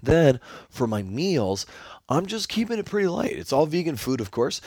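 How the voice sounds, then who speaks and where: A man hosting his own podcast, talking solo in an upbeat, enthusiastic coaching tone, his voice hoarse. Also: a little high, sing-song, normal speed, darkish tone, dry recording. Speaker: podcast host.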